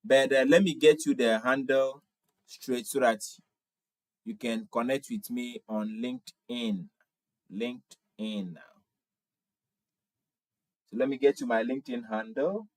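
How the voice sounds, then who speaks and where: A man's voice: medium pitch, measured pace, long pauses, dry recording, rough recording. A man in his twenties from Ghana with a Ghanaian accent, hosting a casual livestream, reading viewer comments aloud and answering them in a friendly way, often repeating himself. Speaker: livestream host.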